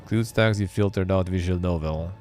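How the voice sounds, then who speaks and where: A deep-voiced man hosts a live stream in a loose, offhand way, muttering to himself and reading text aloud with dry little remarks. Soft music plays quietly behind him. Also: fairly quick. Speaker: streamer and show host. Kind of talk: casual live-stream commentary.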